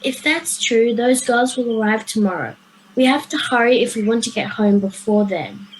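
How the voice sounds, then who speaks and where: A woman's voice: a little high, moderate pace, close to an everyday voice. A girl of ten or eleven, playing an earnest schoolgirl in a radio play. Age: child, 10 to 11. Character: earnest time-travelling schoolgirl. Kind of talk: children's radio play acting. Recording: faintly noisy home video-call recording.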